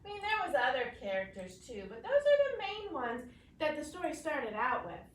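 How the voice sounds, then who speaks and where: A woman schoolteacher giving an expressive storybook read-aloud lesson in a clear, explanatory classroom manner. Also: high voice, normal speed, a little hiss or hum.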